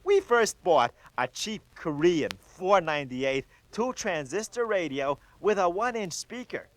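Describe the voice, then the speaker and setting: Man, fairly high voice, lively pitch, earnest explainer, dry studio. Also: measured pace, no echo. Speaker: sound engineer in a sketch. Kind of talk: scripted comedic explanation.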